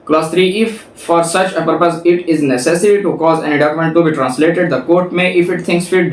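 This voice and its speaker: A man with a Pakistani accent giving an explanatory law lecture, reading out and rephrasing points in a didactic way. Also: a little high. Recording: echoey room, distant mic, background noise.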